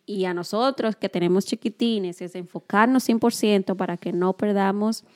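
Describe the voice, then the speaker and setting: A woman in her thirties co-hosting a casual conversation, encouraging and quick in her remarks. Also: medium pitch, a little mellow.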